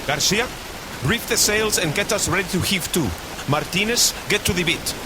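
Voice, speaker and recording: An actor playing a stern, commanding sea captain, giving orders and slipping into in a radio drama. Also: male voice, sits high, moderate pace, lightly acted. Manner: barks orders, slips into Spanish. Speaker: ship captain. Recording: loud rain and storm noise.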